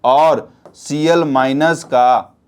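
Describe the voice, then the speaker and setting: A man's voice: mid-range voice, steady tone, drawn-out words, darkish tone, clean tone. A man teaching an exam-prep science lesson, repeating points and often checking with questions that students follow. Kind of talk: spoken classroom lesson.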